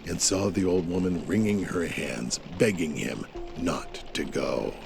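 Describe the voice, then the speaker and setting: A man's voice: sits low, a little slow, vocal fry. A man narrating a scripted audio drama in a dramatic storytelling style. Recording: music bed behind the voice. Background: music.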